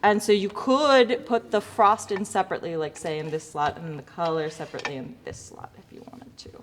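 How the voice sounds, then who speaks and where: Female instructor, slightly low voice, informal demo with joking asides, reverberant hall with background noise. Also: fairly even intonation, a little fast. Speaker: lighting design teacher. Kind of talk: informal hands-on demonstration to students.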